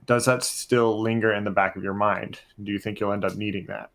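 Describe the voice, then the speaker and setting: A man hosting a casual roundtable, deliberate and halting as he rephrases his questions. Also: fairly low pitch, steady tone, creaky, a bit bright. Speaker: podcast host posing questions.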